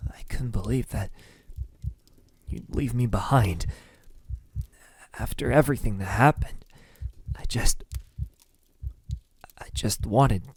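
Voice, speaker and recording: A man playing a lovesick, possessive, centuries-old vampire in an ASMR roleplay, brooding and wounded, in a husky, breathy voice that often sinks to a whisper, with halting pauses. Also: lowish voice, dry recording, heavily acted. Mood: lovelorn, despairing. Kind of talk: ASMR roleplay, slow dramatic monologue.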